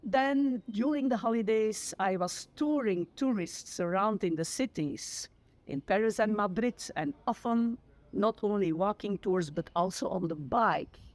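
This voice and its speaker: A woman's voice: medium pitch, measured pace. Woman, 60s, Flemish accent, Dutch native, retired teacher, earnest, searching for words. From Flanders, Belgium. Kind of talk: personal testimony to camera.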